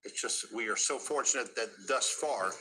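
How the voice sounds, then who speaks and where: A man's voice: mid-range voice, normal speed, bright, forceful tone, faint music behind, rough recording. An American man anchoring live, unscripted breaking weather coverage from a TV studio. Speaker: news anchor. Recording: broadcast audio with faint noise.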